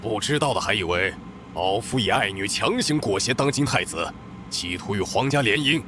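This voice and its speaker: A man's voice: lowish voice, animated, normal speed, noisy background, close to an everyday voice. An actor playing a stern older noble father who scolds his daughter in a commanding tone. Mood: scolding. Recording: music behind the voice.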